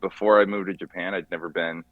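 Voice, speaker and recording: A man from California, chatting casually and haltingly as an interview guest as he tells his story in an informal, rambling way. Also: deep voice, steady tone, no echo, phone-quality sound. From California, USA. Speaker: podcast guest, car mechanic by trade. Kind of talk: casual chat.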